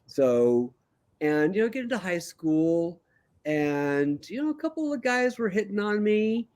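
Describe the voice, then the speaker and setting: An older man, a calm and relaxed guest, telling his life story in a casual interview. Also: high voice, measured pace.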